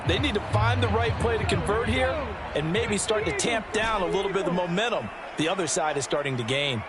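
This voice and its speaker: A man's voice: high voice, animated, fairly quick, over a noisy crowd. A man playing an enthusiastic, opinionated color analyst in a football video game, explaining in a conversational way. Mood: analytical. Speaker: color commentator.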